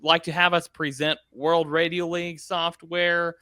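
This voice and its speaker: A man's voice: on the high side, little pitch movement, unhurried, bright, forceful tone, clear, resonant tone, no room sound, clean studio sound. A man hosting a podcast in a casual, conversational style. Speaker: podcast host.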